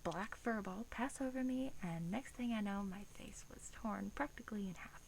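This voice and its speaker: A woman playing a smug, predatory old female vampire in a soft-spoken ASMR roleplay, musing and slipping into whispers. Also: medium pitch, normal speed, vocal fry, a little hiss or hum, lightly acted, low-quality recording. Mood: smug, disdainful.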